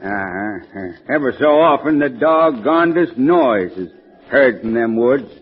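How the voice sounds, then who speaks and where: A man's voice: mid-range voice, expressive, unhurried, close to an everyday voice. Man playing a country cab driver, drawl, folksy and amiable, 1940s radio drama, dull lo-fi sound. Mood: chuckling, unworried. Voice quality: folksy drawl with dropped grammar. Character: folksy, chuckling rural New Hampshire cab driver. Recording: dull, lo-fi old radio recording.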